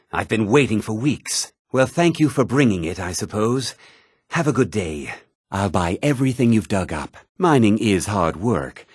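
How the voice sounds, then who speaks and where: A haughty townsman from a fantasy video game, condescending and pompous. The voice is husky and a little low, swinging widely in pitch as he delivers his dismissive lines. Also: dry recording, studio quality, heavily acted. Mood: peevish.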